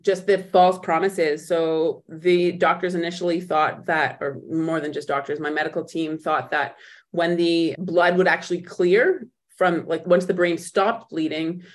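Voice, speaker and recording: A woman guest on an interview podcast, recounting her own story in a reflective way, often pausing and restarting as she searches for words. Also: fairly low pitch, fairly even intonation. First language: not German; speaks in English.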